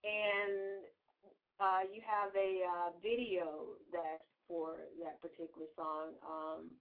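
A woman's voice: on the low side, little pitch movement, takes it slowly. Chatty woman radio host, tinny sound. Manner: chatty, friendly, laughs often. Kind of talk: radio interview chat. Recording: tinny phone-like broadcast sound.

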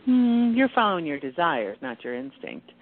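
A woman playing a serene, knowing angel guide in a radio play, speaking with confident, measured emphasis. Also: sits low, big pitch swings, exaggerated voice. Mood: gently advising. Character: serene, knowing female angel guide in kittenish human guise. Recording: tinny, band-limited phone-like sound.

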